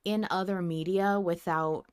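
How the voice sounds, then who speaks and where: A woman's voice: fairly low pitch, steady tone, measured pace, darkish tone, clean tone. A woman co-hosting a podcast in casual fan discussion, informal and sweary in how she talks. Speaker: podcast co-host.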